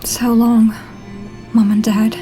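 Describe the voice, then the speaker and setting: A woman's voice: on the high side, little pitch movement. A woman playing an earnest young orphaned survivor in a dramatic fantasy audio drama, with music underneath. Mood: grieving resolve. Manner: earnest, deliberate.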